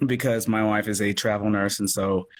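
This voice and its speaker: A man's voice: fairly low pitch, steady tone, moderate pace. An American man talking casually as an interview guest, in a rambling, self-effacing way. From United States. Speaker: guest, audio drama producer and actor. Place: live social media video call. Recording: noisy live video-call audio.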